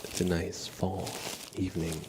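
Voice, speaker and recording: A man speaking gently and softly, at times whispering, as an ASMR livestreamer guiding a relaxing scene. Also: low-pitched, flat delivery. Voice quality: soft, partly whispered. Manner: gentle, unhurried, casual. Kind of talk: ASMR, soft relaxing talk and guided visualization. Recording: clear crinkling and ambient noise.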